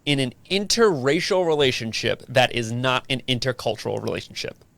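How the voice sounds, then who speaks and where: A man's voice: mid-range voice, lively intonation, vocal fry, fairly bright tone, no room sound. A man hosting a relationship podcast, chatting casually and asking his guest questions. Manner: friendly, asks rapid-fire questions. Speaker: podcast host. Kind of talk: casual interview chat.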